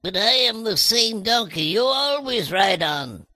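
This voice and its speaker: Man voicing a high, bright, plaintive donkey. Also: very animated, unhurried, no room sound, studio quality, heavily acted. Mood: hurt, reproachful. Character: mistreated, loyal talking donkey, plaintive. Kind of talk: dramatized scripture audio acting.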